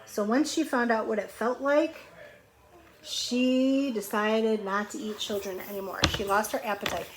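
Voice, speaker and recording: A middle-aged woman reads a picture book aloud to young children, pausing to explain simply and ask questions in a conversational way. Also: on the high side, fairly even intonation. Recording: clearly noisy home recording.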